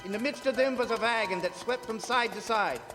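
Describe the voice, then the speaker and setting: A man's voice: high voice, brisk, natural voice. A man playing a grave, commanding foreign professor who hunts vampires, theatrical and emphatic in a live radio drama. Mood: tense, grave. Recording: live stage in reverberant hall, loud music.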